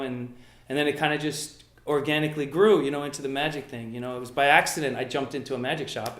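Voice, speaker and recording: A man whose first language is Italian, speaking with eager enthusiasm and a steady stream of "you know"s. His voice sits fairly high for a man. The room gives it a roomy echo. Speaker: magician guest. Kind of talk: casual interview.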